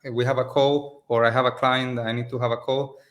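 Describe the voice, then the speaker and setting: A man from Spain, a native Spanish speaker in his late twenties, talking relaxed and casual as a guest on a video call, with loose phrasing and restarts. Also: medium pitch, quick pace. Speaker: agency owner, guest. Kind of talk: casual interview over a call. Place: rented office in Mexico.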